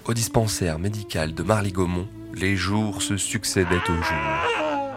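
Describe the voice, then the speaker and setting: Male storyteller: deep pitch, solemn literary narration for audio fiction, music bed behind. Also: sing-song, unhurried, exaggerated voice.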